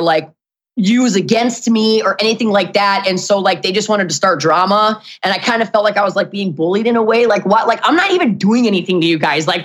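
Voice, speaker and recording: A young woman guest in a casual chat, telling stories in slangy talk full of "dude" and "bro", her voice deep for a woman. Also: a bit bright. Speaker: guest, former reality show cast member.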